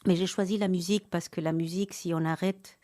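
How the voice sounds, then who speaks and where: Argentine-born pianist and actress, middle-aged, halting and chatty, warm lowish tone. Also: female voice, steady tone. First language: Spanish. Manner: hesitant, many restarts and fillers. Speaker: pianist and actress, interview guest. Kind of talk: relaxed conversational interview.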